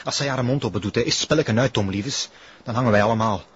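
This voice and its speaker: An actor with a Flemish accent playing an exasperated, anxious accomplice, blunt and coarse, in a TV crime drama. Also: male voice, mid-range voice, quick pace, slightly rough. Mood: grim, anxious. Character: exasperated, anxious male accomplice, plain-spoken and scornful. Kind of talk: television crime drama acting. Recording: muffled lo-fi sound with background noise.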